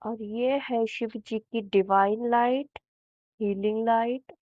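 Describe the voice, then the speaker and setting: Very slow-speaking Indian woman guiding meditation. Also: a little high, fairly even intonation, dry recording. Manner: soothing, unhurried, with long pauses between phrases. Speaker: meditation guide. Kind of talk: guided meditation narration. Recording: thin, phone-like narrow band.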